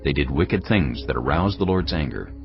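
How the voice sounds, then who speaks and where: A man narrating dramatised scripture from a script in a grave, measured, dramatic manner. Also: rumbling low, expressive, gravelly. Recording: dull audio, music underneath.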